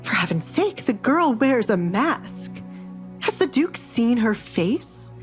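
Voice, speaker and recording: A woman's voice: fairly high pitch, very animated, slow, faint music behind, voice pushed up. A woman playing an indignant, scheming noblewoman in an audio drama, haughty and accusing. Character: indignant scheming stepmother and jealous spoiled sister.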